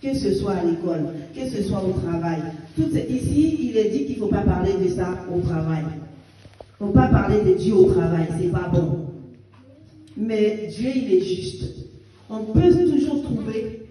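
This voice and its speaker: A woman's voice: medium pitch, lively intonation, moderate pace, mellow. A woman in her fifties giving a fervent testimony and exhortation in church, with emphatic rising bursts. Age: 50s. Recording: echoey church hall picked up from a distance.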